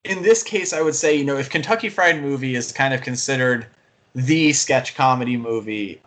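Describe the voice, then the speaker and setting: A man's voice: medium pitch, normal speed, a bit bright. A man co-hosting a podcast, talking casually about movies in an enthusiastic, rambling way. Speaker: podcast co-host and film fan.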